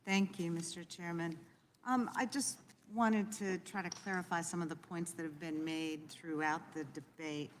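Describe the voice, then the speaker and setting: A woman who is a ranking committee member, making formal remarks in a measured, procedural manner. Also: fairly low pitch, fairly even intonation. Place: large hearing room. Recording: hearing room with long reverb and faint noise.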